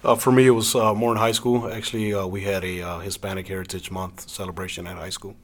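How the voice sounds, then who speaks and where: A man's voice: low-pitched, level tone, fast. A man from Cleveland, speaking hesitantly with many fillers as a panelist in a casual discussion. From Cleveland, Ohio, USA.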